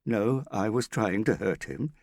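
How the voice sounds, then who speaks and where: A man's voice: on the low side, measured pace, gravelly, darkish tone, breathy edge, no room sound, studio quality, pitched above the performer's own voice, heavily acted. A man doing a mumbling guilt-stricken father. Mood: guilty. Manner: mumbling, remorseful.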